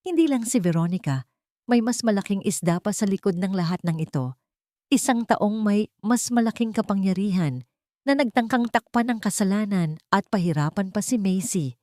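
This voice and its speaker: A woman's voice: on the low side, darkish tone, no room sound, studio quality. A woman with a Filipino accent reading a dramatic romance story as narrator.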